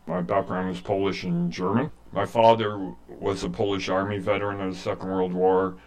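A man's voice: deep voice, little pitch movement, moderate pace, darkish tone. A man in his late sixties with a Canadian accent, telling his personal story in an earnest, plain-spoken way in an interview. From Canada, rural eastern Ontario. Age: late 60s. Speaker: interviewee, humanitarian aid volunteer.

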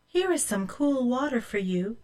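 A woman's voice: fairly high pitch, sing-song, moderate pace, darkish tone. A woman voicing a meek, obedient young girl in a storybook, polite and eager to please.

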